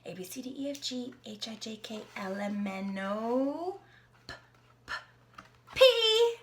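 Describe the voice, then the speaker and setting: Animated woman reading an alphabet book. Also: on the high side, measured pace, a little hiss or hum. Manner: playful and animated, dropping to whispers. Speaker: aunt reading to her niece. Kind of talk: reading a picture book aloud to a child.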